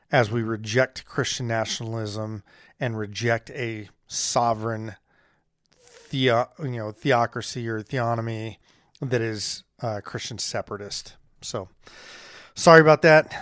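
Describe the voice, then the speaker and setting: A man's voice: medium pitch, no room sound, studio quality. A man co-hosting a faith podcast, speaking casually and apologetically with frequent ums. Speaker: podcast co-host. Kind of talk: casual chat and spoken announcement.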